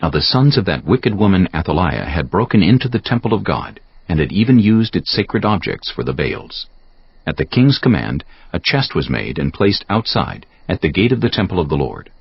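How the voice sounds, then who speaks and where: A man with a gravelly voice giving measured, solemn narration for a dramatized audio Bible. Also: sits low, big pitch swings. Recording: dull audio with soft music behind. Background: soft background music.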